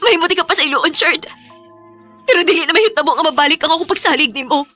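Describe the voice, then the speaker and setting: An actress with a Visayan Filipino accent playing an emotional, trusting young wife working abroad in a radio drama. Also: female voice, extremely high pitch, lively intonation, fast, sharp tone, faint music behind, heavily acted. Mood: hurt, angry. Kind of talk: Visayan radio drama acting with first-person narration.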